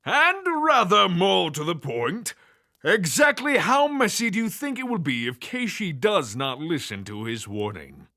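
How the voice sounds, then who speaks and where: An actor playing a fussy, politely curious god in a fantasy audio drama, precise and chatty. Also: male voice, high voice, sing-song, a little slow, pushed and bright, dry recording, studio quality, full character voice. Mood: polite curiosity.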